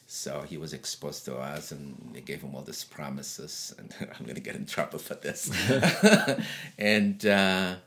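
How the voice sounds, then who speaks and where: Deep-voiced man from Eritrea, chatty. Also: unhurried, fairly bright tone. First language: Tigrinya. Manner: chatty storyteller, frequent restarts and laughs. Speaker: interviewee. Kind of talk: casual conversational interview.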